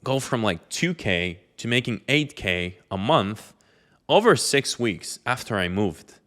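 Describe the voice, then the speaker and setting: A man's voice: fairly low pitch, expressive, a little slow, fairly bright tone. A young man, talking casually about his life and giving advice with many fillers. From Lithuania. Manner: conversational, loose with many fillers. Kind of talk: casual talk to camera.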